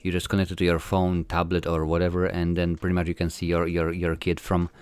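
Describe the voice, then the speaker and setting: A man's voice: deep voice, completely flat delivery, a little fast, a little mellow, smooth, no echo. A man in his forties from Poland with a Polish accent, a filmmaker answering interview questions in a hesitant way, with many fillers and restarts. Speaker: filmmaker guest.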